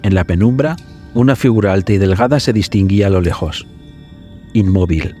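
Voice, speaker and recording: A man narrating a scripted suspense horror story for a podcast in a dramatic, measured way. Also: deep voice, dark tone. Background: background music.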